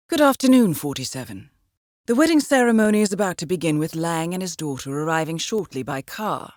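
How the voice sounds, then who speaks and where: An actress playing a cool, composed intelligence handler in a video game, briefing an assassin in a measured, dryly ironic way. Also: female voice, fairly low pitch, a little mellow, dry recording, clean studio sound. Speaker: mission handler.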